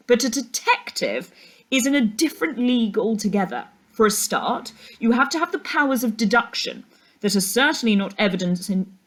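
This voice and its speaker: A woman's voice: fairly high pitch, voice pushed up. A woman reader acts out a stern male schoolteacher from a children's storybook. He is exasperated and pompous, lecturing with emphatic, dismissive weight.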